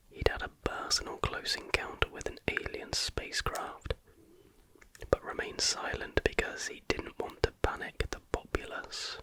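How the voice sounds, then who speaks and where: An ASMR host reading factual material aloud in a breathy, unvoiced whisper with a measured, hushed delivery. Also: low-quality recording.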